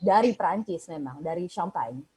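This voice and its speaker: A woman, talking casually as a guest on a video call, relaxed and explaining with pauses. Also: on the low side, slightly rough. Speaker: guest on a travel talk show, living in Paris. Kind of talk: casual chat.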